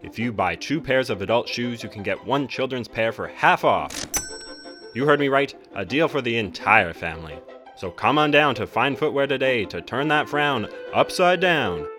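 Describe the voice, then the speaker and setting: A man's voice: medium pitch, lively intonation, normal speed, lightly acted. A man playing an upbeat, pushy salesman in a scripted old-time radio advertisement. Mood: upbeat, selling. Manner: emphatic salesmanship. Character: upbeat, pushy shoe-store pitchman. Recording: music behind the voice.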